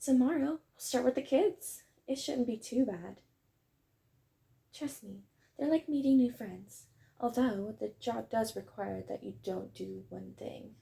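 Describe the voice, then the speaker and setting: A woman acts out a wholesome tomboy dog girl in an audio roleplay. The voice sits fairly high, yet it is very mellow and soft-edged, with a slight creak. The delivery is gentle, chatty and reassuring, like a kindly kennel worker. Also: a little slow. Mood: warm, encouraging. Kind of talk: roleplay audio, acted one-sided conversation.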